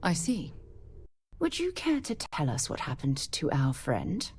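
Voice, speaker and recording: An actress playing a composed, authoritative leader of explorers in a video game, measured and businesslike. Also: female voice, low-pitched, wildly swooping pitch, a little hiss or hum, exaggerated voice. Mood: composed, probing. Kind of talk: scripted video game dialogue.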